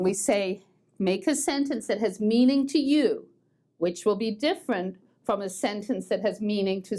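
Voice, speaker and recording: Slow, patient American woman teaching English. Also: medium pitch, a little mellow. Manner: slow, patient, repeats words for drilling. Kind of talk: online grammar lesson. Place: language school in Boston.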